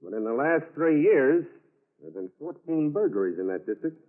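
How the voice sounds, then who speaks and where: A man acting a methodical, confident FBI agent with a measured, matter-of-fact manner in a 1940s radio drama. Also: fairly high pitch, sing-song. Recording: tinny, narrow-band 1940s radio recording.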